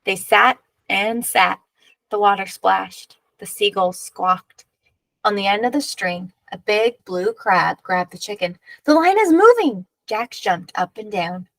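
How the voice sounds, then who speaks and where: Female picture book narrator: expressive, measured read-aloud, some vocal fry, dry recording. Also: mid-range voice, dry recording, rough recording.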